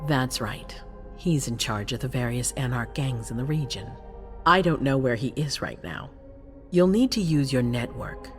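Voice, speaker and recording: Low-voiced, ruthless female commander. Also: lively intonation, moderate pace, faint music behind. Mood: businesslike, authoritative. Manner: imperious, unsentimental, authoritative. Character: cold, ruthless, commanding female leader of a vampire faction. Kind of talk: dramatic video game acting.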